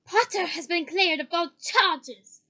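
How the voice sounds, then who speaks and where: Lady doing a strict high voiced teacher from a storybook, firm, emphatic, won't back down. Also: moderate pace. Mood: defiant, loud. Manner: stern, emphatic, ringing.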